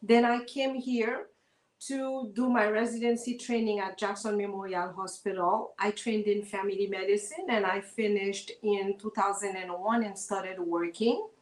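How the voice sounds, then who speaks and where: A woman's voice: medium pitch, fairly even intonation. Haitian woman physician, echoey call audio. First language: Haitian Creole. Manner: warm, a little nervous, many pauses with ums. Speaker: guest, physician. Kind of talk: interview, personal introduction.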